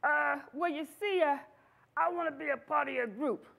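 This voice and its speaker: Storybook frog, young male, played by a woman; high register, bashful, halting, sincere dreamer. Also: animated, gravelly, a bit bright, exaggerated voice. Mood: shy, hopeful. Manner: hesitant, earnest. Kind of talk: animated folktale storytelling.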